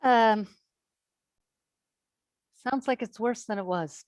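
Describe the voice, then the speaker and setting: A patient woman therapist played by an actress, with a high voice captured close and dry. She probes gently and reassures in a measured, naturalistic way, as in a read-through of a stage drama. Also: no echo. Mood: calm, concerned. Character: attentive, patient woman therapist checking on clients. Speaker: actor in a staged play reading.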